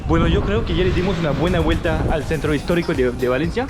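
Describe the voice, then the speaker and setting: Higher-voiced man vlogging casually outdoors. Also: fast. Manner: informal, Mexican-style slang. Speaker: travel vlogger. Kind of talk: casual travel vlog narration to camera. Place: outdoors in city streets and squares. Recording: outdoor street recording with background music. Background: music, urban street sounds.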